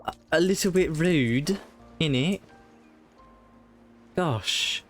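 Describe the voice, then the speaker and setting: A man's voice: fairly high pitch, expressive, very slow, faint music behind. A man giving casual livestream commentary on a game, in rambling, wry asides. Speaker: game streamer.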